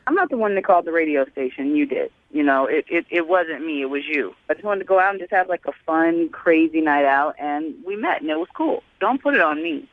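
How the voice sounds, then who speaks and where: A woman's voice: deep voice, lively intonation, fairly quick, hardly any pauses, dry recording. A woman caller heard over a narrow, tinny phone line. Her talk is casual and the sound is very dry. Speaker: radio show caller. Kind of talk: casual chat. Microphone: phone call.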